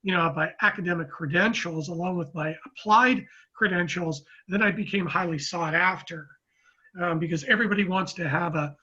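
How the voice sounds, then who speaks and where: A man in his fifties from Oakland, a guest telling his story in a rambling, measured way with many restarts. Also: sits high, pushed and bright, husky, lo-fi sound. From Oakland, California, US. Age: 50s. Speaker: guest, real estate economist. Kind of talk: casual interview talk.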